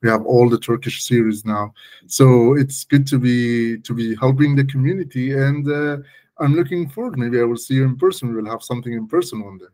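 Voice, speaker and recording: A man's voice: mid-range voice, fairly quick, darkish tone, low-quality recording. A man speaking as a guest expert, giving casual, emphatic, step-by-step technical explanations in conversation. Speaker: guest malware analysis expert.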